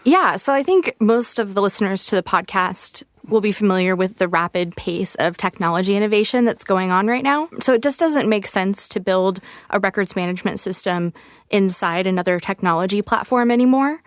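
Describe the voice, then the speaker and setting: A woman's voice: medium pitch, a little fast, dry recording, clean studio sound. Woman fluently explaining on a podcast. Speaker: podcast guest, product evangelist. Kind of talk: conversational podcast interview.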